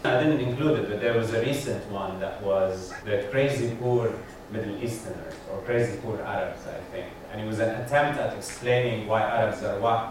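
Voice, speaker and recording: A man from Lebanon with a Lebanese accent, giving a humorous public lecture as a satirist, with wry joking asides to the audience. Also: on the low side. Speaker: guest speaker, satirist. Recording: echoey hall, distant mic, audience noise.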